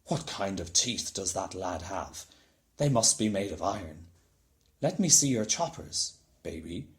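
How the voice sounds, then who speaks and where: A man's voice: deep voice, lively intonation, a little slow, pitched below the performer's own voice. A man voicing a huge, gluttonous bully of a giant in a storybook, bellowing and blustering. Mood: baffled, demanding. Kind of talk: storybook character voice.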